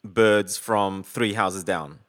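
A man's voice: on the low side, takes it slowly, fairly bright tone. A man chatting casually on a call about recording gear, rambling with lots of fillers and the odd swear. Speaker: podcaster on a video call.

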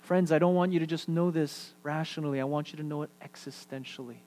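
Earnest New preacher, higher-pitched man. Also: fairly even intonation, fast, a little mellow. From New York City. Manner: earnest, repetitive, drops to near-whisper for emphasis. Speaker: pastor. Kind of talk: sermon.

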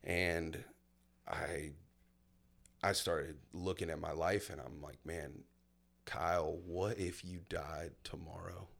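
A man in his thirties with a very low, deep voice talks through his own past in a relaxed conversation. He sounds candid and reflective, with plenty of "um"s and pauses as he tells it. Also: creaky. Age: 30s. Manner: candid, reflective storytelling with many fillers. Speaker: guest sharing his testimony. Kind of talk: casual personal conversation.

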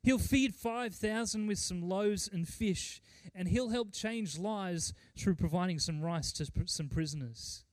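A middle-aged man preaching as a pastor, speaking earnestly and emphatically, with deliberate pauses and repeated phrases. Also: sits high, dry recording, poor audio. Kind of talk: sermon with mission report and scripture reading.

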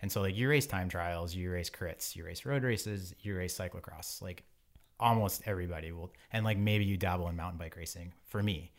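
Podcast guest, male, forties, very deep pitch, loose and rambling conversational delivery. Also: brisk. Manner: informal, rambling, frequent "like". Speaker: podcast guest, bike wheel company owner. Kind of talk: casual interview chat.

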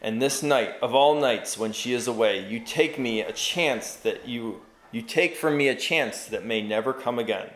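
A man's voice: medium pitch, moderate pace, hardly any pauses, a bit bright, reverberant, natural voice, poor audio. A man voicing a tormented enchanted knight bound in a chair, pleading in grand old-fashioned speech and rising to frenzy.

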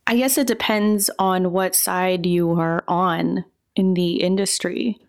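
A woman giving relaxed, thoughtful answers as an interview guest, often hedging her points. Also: on the low side, takes it slowly, smooth.

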